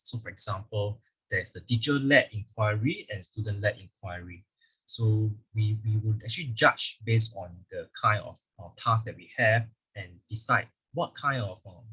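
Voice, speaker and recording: A man's voice: fairly low pitch, a bit bright, slightly rough, rough recording. A man with a Singaporean English accent presenting a school curriculum at a virtual event. He explains patiently, often leaning on "actually" and small fillers. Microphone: video call microphone.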